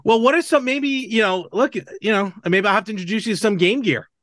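Guy hosting a gaming podcast, kinda high voice, super into it, dry close sound. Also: a little fast, fairly bright tone, dry recording. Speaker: podcast host. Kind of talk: casual chat about retro video games.

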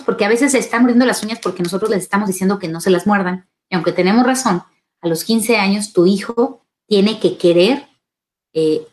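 A woman hosts a live parenting session, taking viewers' questions one by one. She talks to the parents directly and often checks in with "¿sale?". Her pitch sits in the middle for a woman. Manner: warm advisory tone, addresses parents directly, asks "¿sale?". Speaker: parenting show host answering questions. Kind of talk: live Q&A talk.

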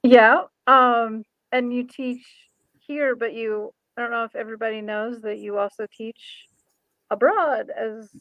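A woman with a high voice hosts an informal chat. She talks in a chatty, loose way and lets thoughts trail off. The sound is close and dry, though a little dull. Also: fairly even intonation, unhurried, dry recording. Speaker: show host; ordained minister, former pastor. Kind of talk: casual interview chat.

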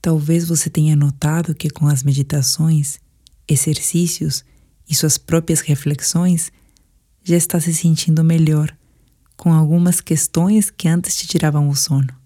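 A Brazilian woman narrating a guided sleep meditation in a calm, soothing, measured way, with soft, breathy phrase endings. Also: low voice.

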